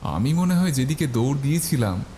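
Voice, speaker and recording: A man's voice: medium pitch, big pitch swings, brisk, warm tone, a little hiss or hum. A man narrating a scripted first-person horror story. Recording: faint music behind the voice.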